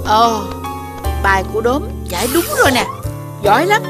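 A woman's voice: a little high, very animated, normal speed. A woman voicing an encouraging schoolteacher animal in a children's cartoon. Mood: encouraging, pleased. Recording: loud music behind the voice.

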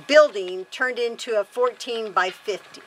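A woman's voice: a little high, measured pace, a little hiss or hum, rough recording. A woman in her fifties chatting casually to camera outdoors on a wooden deck, rambling and correcting herself as she talks. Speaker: homesteader vlog host.

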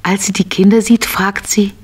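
A woman narrates a children's radio play. Her voice is medium in pitch, and she reads the scripted story as a storyteller for small children. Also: level tone, moderate pace, a little mellow, husky.

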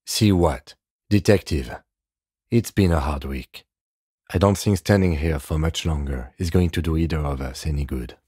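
An actor playing a composed, dry police detective in a video game, speaking in a measured, restrained, matter-of-fact way with a soft husky edge. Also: male voice, rumbling low, dry recording. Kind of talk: video game dialogue acting.

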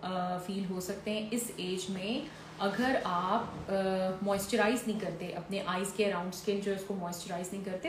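Lady skin doctor in her 40s answering live questions, chatty, lots of "uh"s, echoey room with a bit of hiss. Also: medium pitch. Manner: conversational and advisory, with frequent "uh" fillers. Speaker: dermatologist answering viewer questions. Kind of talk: live-stream Q&A, reading comments aloud. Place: indoor room, seated in a fur-draped chair. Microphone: phone or camera on a stand, mid framing.

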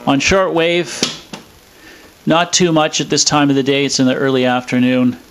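A man explaining a demonstration in a measured, matter-of-fact way, with a radio playing. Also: mid-range voice, little pitch movement, background noise. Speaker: presenter demonstrating a vintage radio. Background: radio playing music and stations.

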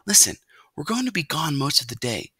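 Woman doing a bright, friendly young boy. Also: low voice, big pitch swings, brisk, slightly rough, no echo, studio quality, voice pushed up, heavily acted. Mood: friendly, confiding. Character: friendly, conspiratorial human boy. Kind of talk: children's storybook character voice.

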